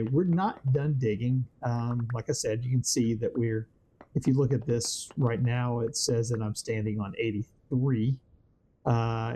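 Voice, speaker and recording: Let's-play host, man, a bit hoarse, dark mellow tone, meandering and filler-heavy, easygoing commentary. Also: mid-range voice. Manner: rambling, thinks aloud with many fillers. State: slightly hoarse, voice worn from weather change. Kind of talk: casual gameplay commentary.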